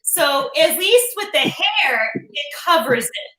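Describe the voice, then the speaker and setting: Woman, high voice, crisp bright tone, echoey room, teasing live-chat co-host. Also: very animated, slow, slight background noise. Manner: teasing, chatty, laughs often. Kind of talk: casual live-stream chat.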